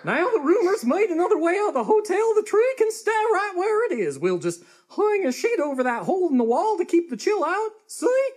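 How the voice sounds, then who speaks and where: Very high, excitable fussy fellow. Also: male voice, a little fast, hardly any pauses. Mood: smugly upbeat. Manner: whiny, impatient, bossy. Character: fussy, excitable, self-assured small-town fellow. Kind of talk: comic live radio theatre.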